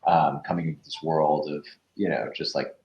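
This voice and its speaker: A man's voice: very deep voice, fairly even intonation, normal speed. A man who works as a voice actor, chatting casually as an interview guest. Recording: echoey room, dull lo-fi audio.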